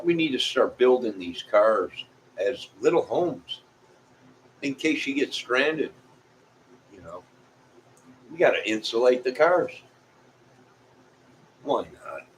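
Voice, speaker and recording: A man with a fairly low voice and a Midwestern American accent talks casually to his viewers. He rambles in a folksy way, moving loosely from one thought to the next. Faint noise sits behind his voice. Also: rough recording. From Illinois, United States. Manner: folksy, meandering. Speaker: vlogger. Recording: clear background noise.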